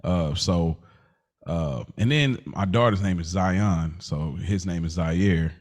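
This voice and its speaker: Low-voiced American man chatting casually. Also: slow pace, darkish tone. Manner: informal, slangy. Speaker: podcast co-host. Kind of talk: casual banter and chat between friends.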